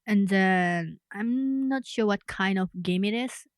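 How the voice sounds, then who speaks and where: A woman's voice: mid-range voice, takes it slowly, dry recording, clean studio sound. A woman streamer wrapping up a game stream in casual chat, rambling and correcting herself as she goes. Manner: rambling, self-correcting, trailing off.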